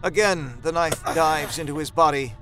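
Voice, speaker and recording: A man's voice: a little high, moderate pace. A man narrating a horror story from a script.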